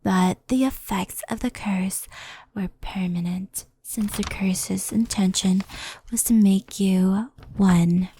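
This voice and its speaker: A woman playing a gentle, wistful fox spirit in an ASMR roleplay, speaking softly and often in a whisper, close to the microphone. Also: medium pitch, slightly rough, no echo. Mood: calm, explaining. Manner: soft-spoken, often whispery, unhurried explaining. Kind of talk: ASMR roleplay, soft storytelling. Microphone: close headphone ASMR microphone.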